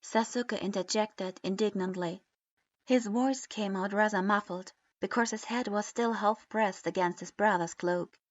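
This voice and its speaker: A woman reading a piece of fan fiction aloud in an even, unhurried narration. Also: mid-range voice. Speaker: reader. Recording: dull lo-fi recording.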